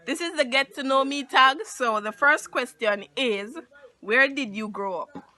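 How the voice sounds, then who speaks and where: Young Jamaican woman, bright forceful voice, fairly high, teasing co-host. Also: a little slow. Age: young adult. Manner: reads questions, short teasing replies. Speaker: YouTuber co-host reading questions. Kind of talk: casual chat.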